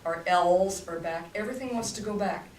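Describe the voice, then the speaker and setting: A woman's voice: on the low side, moderate pace, a little mellow. Older woman voice teacher, roomy distance. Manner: demonstrative, coaching, talks in bursts. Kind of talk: voice masterclass talk. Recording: roomy, distant, faint hum.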